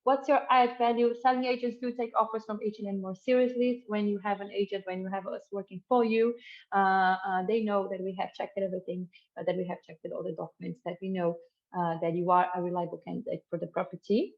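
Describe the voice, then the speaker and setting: A woman's voice: mid-range voice, fairly even intonation, fast talker, muffled recording. A middle-aged woman from Brazil hosting a housing webinar, friendly and full of fillers and restarts. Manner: friendly, many fillers and restarts, laughs easily. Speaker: webinar host, housing buying manager. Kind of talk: presenting a webinar.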